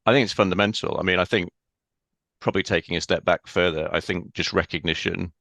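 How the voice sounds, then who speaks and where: Man, podcast guest, low voice, steady flat delivery, dry sound. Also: vocal fry, no room sound. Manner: thoughtful, measured, with frequent ums. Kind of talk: casual interview chat.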